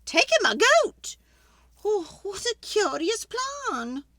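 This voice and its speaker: A woman's voice: very high-pitched, big pitch swings, slow pace, bright, forceful tone, no room sound, studio quality, pitched above the performer's own voice, heavily acted. A woman voicing a dramatic, exasperated little old lady in a children's storybook, with a theatrical, pleading manner. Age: older. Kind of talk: children's storybook read-aloud.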